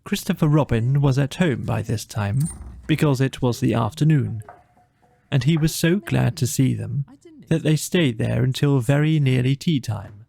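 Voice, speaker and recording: A man narrating a children's bedtime story from a script in a measured, gentle way. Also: medium pitch, creaky, slight background noise. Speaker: audiobook narrator.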